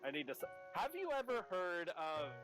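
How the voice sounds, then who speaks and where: A man's voice: high voice, very animated, moderate pace. A man co-hosting a let's play, chatting casually over a visual novel. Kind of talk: casual gaming chat. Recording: background music under the voice.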